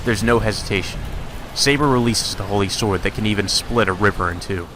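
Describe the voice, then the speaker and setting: A man's voice: lowish voice, a little fast, background noise. A man doing a let's play, reading a visual novel aloud and breaking off for irreverent, sweary asides. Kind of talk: narrating a visual novel with crude off-the-cuff commentary.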